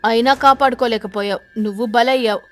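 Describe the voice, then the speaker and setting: A woman voicing a vengeful female ghost sparrow in an animated children's tale, threatening and accusing as she interrogates. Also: fairly high pitch, a little fast, faint music behind, lightly acted. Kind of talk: animated children's story character voice.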